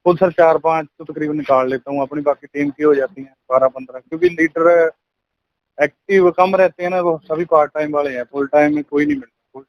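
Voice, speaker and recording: A man's voice: fairly high pitch, animated, a little fast, creaky, no echo. A young man from Punjab in India in a polite, deferential phone conversation, often addressing the caller as sir. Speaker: part-time network marketer being coached. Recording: dull lo-fi phone call, dry.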